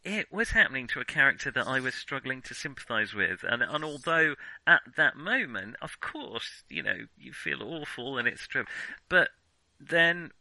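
A man with a very bright, forward voice talks through his opinions on film in a casual discussion. He is halting and keeps revising his sentences as he goes. The sound is dry and close. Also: mid-range voice, very animated, no room sound, low-quality recording. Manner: hesitant, halting, self-correcting mid-sentence. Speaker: film podcast co-host.

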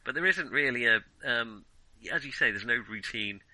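A man co-hosting a film podcast in casual discussion, giving emphatic opinions and halting as he thinks aloud. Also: medium pitch, animated, bright, forceful tone, no room sound, poor audio.

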